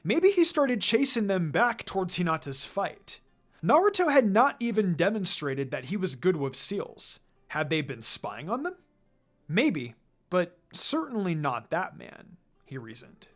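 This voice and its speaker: Fan fiction narrator, mid-pitched, animated intonation, background music. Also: a little slow. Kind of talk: expressive story narration.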